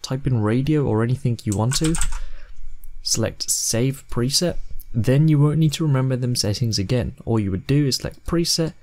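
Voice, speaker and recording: A man in his twenties narrating a software tutorial in plain, step-by-step instructions. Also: medium pitch, normal speed. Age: 20s. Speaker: host of video editing tutorials.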